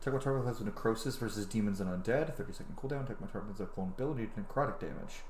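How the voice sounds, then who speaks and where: A man's voice: on the low side, racing, darkish tone. A man in his thirties giving casual, enthusiastic game commentary, musing aloud and swearing casually. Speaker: gaming streamer, let's play host. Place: indoor room at home. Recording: roomy echo, clear background noise.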